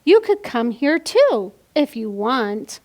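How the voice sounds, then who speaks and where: A woman's voice: a little high, very animated, takes it slowly, a little mellow. A woman reading a picture book as an imaginative little girl writing letters to her mom. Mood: earnest, imaginative.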